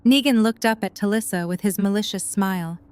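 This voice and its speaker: Female narrator for scripted fiction, mid-range pitch, smooth and clear. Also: fairly quick, a little mellow.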